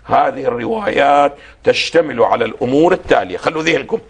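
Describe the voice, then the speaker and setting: Hoarse man teaching religion on TV. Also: medium pitch, animated. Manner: didactic, poses questions for the host to finish; slow, deliberate when reading quotations. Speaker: religious scholar guest. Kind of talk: televised religious lecture.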